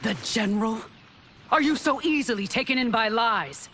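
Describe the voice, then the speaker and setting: A woman's voice: a little high, normal speed, a bit bright, slight background noise, natural voice. An actress playing a haughty, scornful royal guardswoman in a video game, imperious and accusing. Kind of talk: dramatic video game acting. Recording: music behind.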